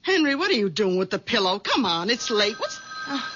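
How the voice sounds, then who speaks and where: Swooping, nagging wife. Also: female voice, medium pitch, very animated, fairly quick, fairly bright tone, a little hiss or hum. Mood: sleepy, irritated. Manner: bossy, complaining, chatty. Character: nagging, domineering, self-absorbed wife of a meek man. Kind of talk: radio mystery drama acting. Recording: dull lo-fi old radio recording.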